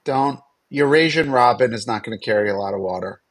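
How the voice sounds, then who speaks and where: A man's voice: medium pitch, brisk. A man co-hosting a livestream in casual, teasing banter with a wry way of talking. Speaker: livestream co-host.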